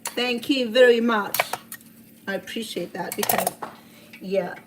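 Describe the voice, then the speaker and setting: A woman's voice: a little high, measured pace, noisy background. Earnest Cambodian-accented woman in her sixties. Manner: earnest, heartfelt, with many restarts. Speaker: cooking show host. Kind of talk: personal storytelling in a cooking video.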